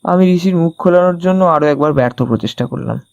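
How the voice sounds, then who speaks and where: A man telling a detective thriller in the first person, in an expressive, conversational storytelling style. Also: a little high, fast talker, creaky, no room sound, lo-fi sound. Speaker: narrator of an audio story.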